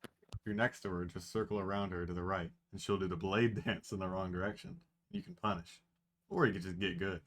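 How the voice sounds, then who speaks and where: A man as a deep-voiced speedrunner giving tips. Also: fairly quick, fairly bright tone. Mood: explanatory. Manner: matter-of-fact guide tone, explaining game tactics. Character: calm male speedrunner giving strategy tips. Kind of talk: fan reading over voice call.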